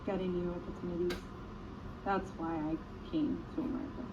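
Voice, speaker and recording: A woman's voice: on the low side, level tone, brisk. A young woman from the Dominican Republic speaking plainly and steadily in a documentary interview. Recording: distant, dull, noisy clip.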